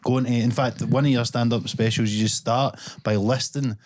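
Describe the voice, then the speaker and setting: A man's voice: mid-range voice, fairly even intonation, moderate pace, a little mellow. A Scottish man hosting a podcast, chatting casually, laughing often and restarting his sentences. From Scotland. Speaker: podcast host. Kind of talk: casual chat.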